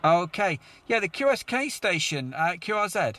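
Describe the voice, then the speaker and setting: A man's voice: high voice, very animated, normal speed, sharp tone, a little hiss or hum. A man chatting casually as controller of a CB radio net, in a hesitant, chatty way. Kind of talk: casual amateur radio chat. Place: mobile radio setup.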